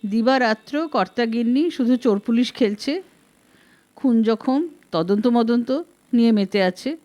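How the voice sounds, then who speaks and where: A woman's voice: on the high side, a little mellow. A woman voicing a scolding, exasperated middle-aged wife in a story, emphatic and reproachful. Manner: emphatic, reproachful, rhetorical questioning.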